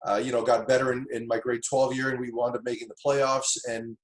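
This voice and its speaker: A middle-aged man, originally from Tanzania, speaks at a mid pitch as he recalls his path into football coaching. He talks in an easygoing, reflective way, often circling back with fillers and restarts. The sound has a noticeable roomy echo. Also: little pitch movement, a little fast. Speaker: football coach, interview guest. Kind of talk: casual interview storytelling.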